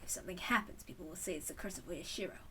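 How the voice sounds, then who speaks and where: A woman's voice: on the low side, very fast, sharp tone, recorded from a distance, a little hiss or hum, pitched below the performer's own voice. A woman voicing a stern, proud old village elder, subdued and firm, in a story reading. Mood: stern, reflective.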